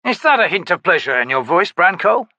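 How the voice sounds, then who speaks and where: A man's voice: sits high, very animated, a little fast, bright, forceful tone, dry recording, lo-fi sound, pitched above the performer's own voice, full character voice. A man voicing a disciplined, composed male knight commander in an audiobook, speaking formally and firmly. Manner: formal, firm, courteous.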